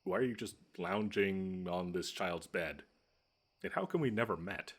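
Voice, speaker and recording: Audio roleplay monster, male actor: very low voice, smug, taunting, theatrical, menacing but quick to get flustered. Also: breathy edge, full character voice. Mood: startled, demanding. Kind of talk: scripted one-sided audio roleplay.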